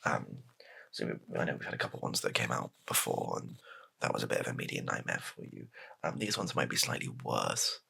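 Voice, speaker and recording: Man acting a political aide, very low breathy voice, heavy rasp, hesitant, deadpan, placating, soft-spoken comedy roleplay. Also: fairly quick, heavily acted. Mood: awkward, tactful. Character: flustered, placating male political spin doctor advising his boss. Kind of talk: softly spoken improvised ASMR comedy roleplay.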